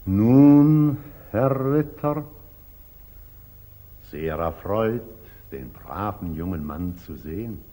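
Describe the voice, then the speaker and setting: An actor playing a pompous, unctuous elderly church patriarch, preaching and condescending in 1940s German radio drama style. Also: male voice, lowish voice, lively intonation, very slow, pauses often, warm tone. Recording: old muffled 1940s radio recording.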